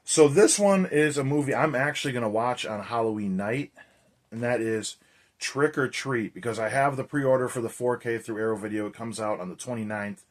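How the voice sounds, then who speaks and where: A man with a hoarse, raspy voice chatting energetically as a horror movie vlogger, loose and full of fillers. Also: mid-range voice, moderate pace. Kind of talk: casual vlog chat.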